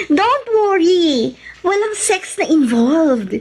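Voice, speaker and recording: A woman's voice: very high-pitched, expressive, very slow, a little mellow, heavily acted. A woman acting a playful, flirty woman teasing her friend in a Filipino radio drama.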